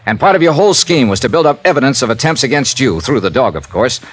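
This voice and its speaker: An actor playing a tough, blunt, sardonic insurance investigator in an old-time radio drama. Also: male voice, medium pitch, expressive, fast talker, close to an everyday voice. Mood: accusing.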